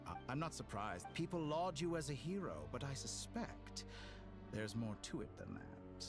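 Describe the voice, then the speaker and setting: An actor playing a smug, theatrical, calculating villain in a fantasy video game, silky and condescending. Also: male voice, mid-range voice, huge pitch swings. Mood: coaxing, calculating. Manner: silky, condescending, deliberate provocation. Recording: game music behind the voice.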